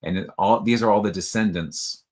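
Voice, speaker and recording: A man calmly walking through software features on a call, explaining step by step. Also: lowish voice. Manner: calm, explanatory, slow in spots. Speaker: software demonstrator. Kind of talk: casual screen-share walkthrough.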